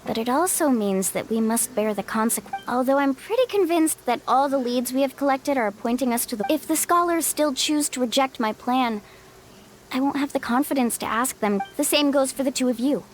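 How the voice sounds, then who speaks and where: High-voiced, spirited young guide. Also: female voice, a little hiss or hum. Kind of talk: anime video game voice acting.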